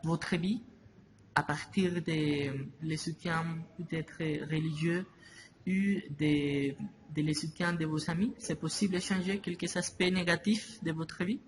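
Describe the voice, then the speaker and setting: Man, slow and halting, slightly high voice, noisy background. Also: steady tone. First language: Spanish. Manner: halting, many restarts and fillers. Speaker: interviewee. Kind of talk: slow, hesitant interview answers. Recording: noisy ambience behind voice.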